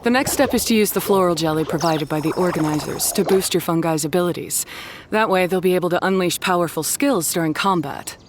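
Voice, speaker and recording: A woman's voice: lowish voice, a little fast, background noise, lightly acted. A woman voicing a composed, businesslike young female guide in a video game, explaining things in an instructive way. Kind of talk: English video game voice acting.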